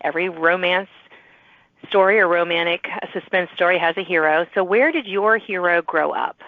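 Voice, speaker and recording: A woman's voice: lowish voice, normal speed, dry recording. A woman hosting a podcast, interviewing a guest in a conversational style, with narrow phone-like audio. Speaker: podcast host.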